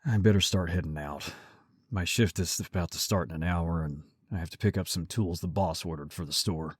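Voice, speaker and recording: Man acting as a deep, husky, protective werewolf brother. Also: brisk, no echo, clean studio sound. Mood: guarded, serious. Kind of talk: audio drama roleplay.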